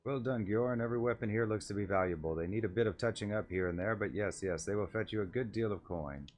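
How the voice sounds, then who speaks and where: A man voicing an encouraging, seasoned catfish-like appraiser creature from a game, in a measured, approving manner. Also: lowish voice, slight background noise, close to an everyday voice. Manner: measured, approving, repeats words. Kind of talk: voiced reading of game dialogue.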